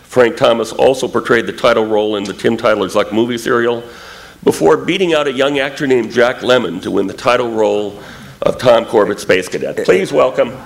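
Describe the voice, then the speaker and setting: A man's voice: medium pitch, a little fast, gravelly. A man hosting on stage as master of ceremonies, mixing announcements and comic banter on a noisy live recording.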